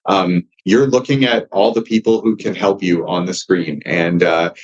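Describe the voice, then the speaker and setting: A man with a fairly low voice speaks as a developer presenting to an audience. His delivery is informal, wordy and encouraging. The audio is slightly dull and lo-fi. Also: moderate pace. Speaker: software developer presenting and moderating questions.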